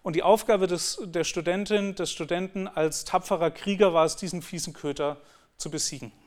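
A man's voice: high voice, steady tone, normal speed. A male lecturer speaks in a slightly raised register. He explains his teaching ideas earnestly, and his sentences often stop and begin again. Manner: earnest, explanatory, with frequent restarts. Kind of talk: conference talk on teaching.

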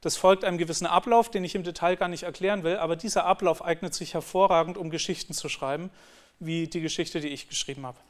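A man's voice: on the high side, steady tone, quick pace. A man giving a talk on teaching, explaining in an earnest way and often restarting his sentences. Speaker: lecturer.

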